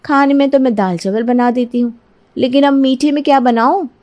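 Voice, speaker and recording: Casting: woman as lazy young bahu for cartoon story, very high voice, sugary, eager to please, sly cheer. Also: a little fast, darkish tone, lightly acted. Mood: scheming, pleased. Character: lazy, sweet-talking young daughter-in-law, cheerful schemer. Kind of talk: Hindi cartoon moral story dialogue.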